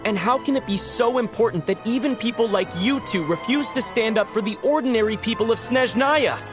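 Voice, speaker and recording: An actor playing a polite, forthright young traveler in a video game, speaking in a measured, direct way. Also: male voice, extremely high pitch, over music. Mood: polite, probing. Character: polite, forthright young male traveler questioning those in power.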